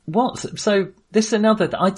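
A man's voice: high voice, normal speed, slightly rough. A man co-hosting a casual film discussion, talking in a hesitant, self-deprecating way. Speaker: podcast co-host reviewing films.

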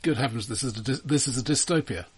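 A man co-hosting a casual film discussion, giving his opinions in a measured, qualifying way. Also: medium pitch, crowd noise. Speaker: co-host of a film discussion show.

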